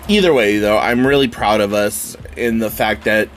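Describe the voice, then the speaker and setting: A man's voice: lowish voice, normal speed, a little hiss or hum. A man hosting his own podcast in a casual, rambling solo chat, sounding nasal and stuffy from a summer cold. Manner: rambling, chatty, with frequent fillers and casual swearing. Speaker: podcast host.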